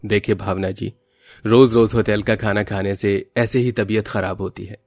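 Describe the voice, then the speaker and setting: A man playing a calm hospital doctor in a cartoon story, gently explaining and advising. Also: fairly low pitch, no room sound, natural voice.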